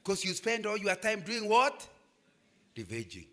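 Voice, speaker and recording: Older Kenyan man, lively preacher to students, bright and high-pitched. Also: a little slow. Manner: animated preaching, asks "Am I communicating?". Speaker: guest speaker.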